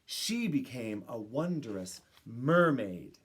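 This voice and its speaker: A woman reads a children's picture book aloud in an expressive way, with dramatic pauses and emphasis for young listeners. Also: a bass voice, husky. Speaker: children's story reader.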